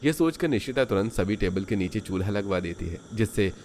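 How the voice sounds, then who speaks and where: A man's voice: on the low side, expressive, a little fast, darkish tone, a little hiss or hum. A man narrating a cartoon story in a scripted storytelling style.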